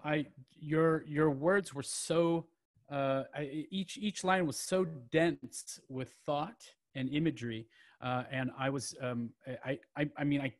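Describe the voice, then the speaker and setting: A man hosting an open mic over a video call, rambling warmly with lots of fillers and restarts. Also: mid-range voice.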